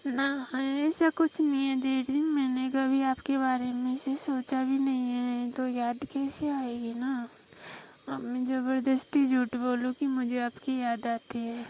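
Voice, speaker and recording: A woman acts a shy young Rajasthani village wife with a high voice that stays very even in pitch. She talks coyly and hesitantly, as in a casual late-night phone call. The recording has faint noise and night insects. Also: takes it slowly. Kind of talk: staged phone-call role-play in casual talk.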